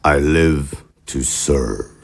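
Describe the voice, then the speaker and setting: A man's voice: extremely low pitch, very slow, gravelly, full character voice. A man playing a jovial, scheming political chief of staff in an audio drama, with a glib, jocular, theatrical manner. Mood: flattering, jovial.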